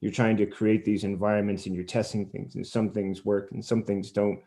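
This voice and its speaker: Male interviewer, lowish pitch, mellow dark tone, thoughtful, wordy, long reflective questions. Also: little pitch movement, a little fast. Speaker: interviewer, podcast host.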